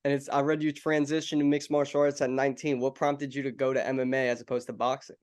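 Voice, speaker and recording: A man's voice: mid-range voice, little pitch movement, fast, darkish tone. A young adult man hosting an interview, friendly and casual, calling his guest "bro" and "man".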